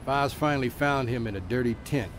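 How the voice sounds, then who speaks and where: A man's voice: mid-range voice, a little fast, close to an everyday voice. An actor playing an earnest man who lives among the homeless and tells a story in a radio drama. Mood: solemn storytelling. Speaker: storyteller and street preacher.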